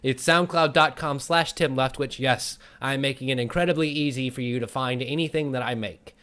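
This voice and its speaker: A man with a medium-pitched voice hosts a casual interview show. He speaks conversationally, putting questions and show remarks to the listener from a bedroom. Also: normal speed, a bit bright, clear, resonant tone. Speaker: talk show host.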